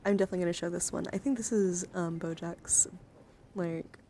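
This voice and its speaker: A woman's voice: lowish voice, fairly even intonation, brisk, dark tone, a little hiss or hum. A woman livestream host chatting casually, rambling and swearing freely as she shows off a collection. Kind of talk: casual livestream chat showing a card collection. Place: indoors, livestream with handheld camera.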